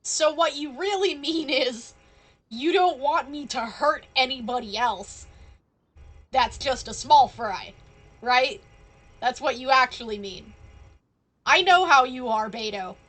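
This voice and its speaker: Snarky woman streamer, slow and highish. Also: expressive. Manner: snarky, talks back to characters. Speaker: game streamer. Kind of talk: casual live game commentary. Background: soft game music.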